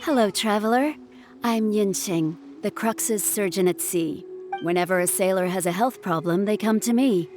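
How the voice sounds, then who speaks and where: An actress playing a composed, caring ship's surgeon in a video game, explaining things in a measured, polite, cautioning way. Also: female voice, medium pitch, a little mellow, faint music behind, close to an everyday voice. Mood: composed, welcoming. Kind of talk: scripted video game dialogue.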